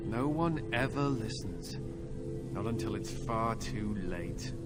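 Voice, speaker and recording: An actor playing a young, tormented captive templar in a fantasy game, anguished and halting, full of hatred and desperation, with music behind him. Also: male voice, on the low side, lively intonation. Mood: pleading, grim. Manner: anguished, halting, intense pleading. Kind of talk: dramatic fantasy video game acting.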